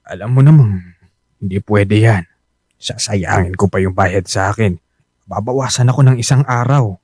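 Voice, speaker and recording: A man's voice: on the low side, normal speed, a little mellow, husky, no room sound, studio quality. A Filipino actor playing a self-sacrificing working-class husband and father, defensive and pleading, in a Tagalog radio drama. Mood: weary, stubborn. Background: musical underscore.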